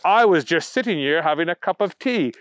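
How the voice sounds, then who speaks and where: An indignant, self-important male bunny from a children's story, acted out by a man. He speaks high and animated, lecturing in prim, formal phrases as if deeply put upon. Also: brisk, smooth, no echo, studio quality, close to an everyday voice. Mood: prim, matter-of-fact. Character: indignant, fussy, pompous talking Easter Bunny, male.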